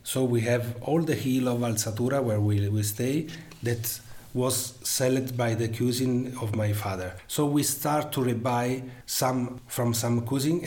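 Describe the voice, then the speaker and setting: A middle-aged man with an Italian accent answering interview questions about his winery, in halting English full of restarts and fillers. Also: medium pitch, a little mellow. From Switzerland. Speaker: winery owner.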